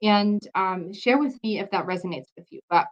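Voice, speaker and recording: A woman's voice: medium pitch, normal speed. Woman teaching, slightly dull recording. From Germany. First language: German. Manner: explanatory, checks in with "okay?" and "right?". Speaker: health course instructor. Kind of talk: informal lecture to an audience.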